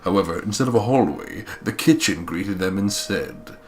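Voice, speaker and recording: A man's voice: sits low, expressive, moderate pace, faint music behind. A man narrating a visual novel as a let's play co-host, with crude, sweary, mocking humour.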